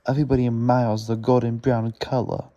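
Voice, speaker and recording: A man reads a children's storybook aloud haltingly, stumbling over words and correcting himself as he goes. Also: mid-range voice, flat delivery, mellow, highs cut off. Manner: stumbling, laboured reading with self-corrections.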